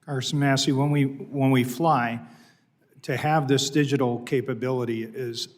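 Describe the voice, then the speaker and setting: A man testifying at a hearing, earnest and halting. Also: medium pitch, steady tone, long reverb tail. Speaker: witness.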